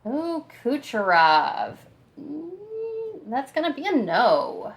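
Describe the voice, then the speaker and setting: A woman's voice: a little high, very animated, slow, gravelly. A woman hosting a hockey trivia quiz on a podcast in casual, conversational talk.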